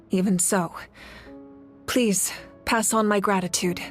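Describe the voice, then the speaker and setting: Soft-hearted sombre game girl, earnest, medium pitch, light music in back. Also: steady tone, extremely slow. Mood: wistful, sad. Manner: earnest, reflective. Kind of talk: dramatic game cutscene acting.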